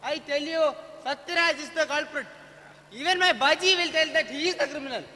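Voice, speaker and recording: Man playing a high-voiced gossipy Indian snack seller. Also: a little fast, pushed and bright, exaggerated voice. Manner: chatty, opinionated, indignant. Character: gossipy, excitable street bhaji seller. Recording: echoey hall, stage play.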